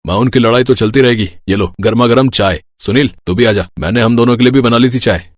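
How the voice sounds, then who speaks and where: A man's voice: mid-range voice, quick pace, dry recording, close to an everyday voice. A man voicing an easygoing, wry adult son in a scripted family moral story. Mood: wry, casual. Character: easygoing adult son joking about household quarrels.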